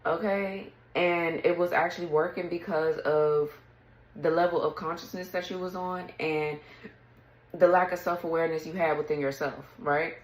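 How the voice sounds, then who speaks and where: A woman with a low, raspy morning voice talks casually through a tarot spread and often clears her throat. Her voice sounds echoey, as if she is in a bare room. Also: steady tone, normal speed. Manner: chatty, clears throat often, reads card texts aloud. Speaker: tarot reader. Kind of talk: casual tarot reading to camera.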